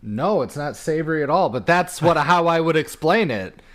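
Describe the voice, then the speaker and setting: A man's voice: fairly high pitch, animated, moderate pace. An American man talking casually and bluntly as a podcast co-host. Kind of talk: casual group chat.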